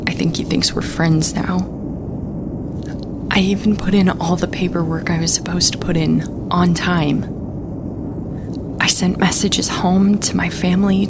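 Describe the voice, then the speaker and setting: A woman playing a frightened, anxious starship captain in an audio drama, confiding a secret log in halting, breathy, repetitive phrases. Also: fairly low pitch, heavy background noise. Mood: forced calm. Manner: halting, breathy half-whispers, repeating herself. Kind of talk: audio drama monologue, intimate confessional recording. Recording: music behind the voice. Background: music.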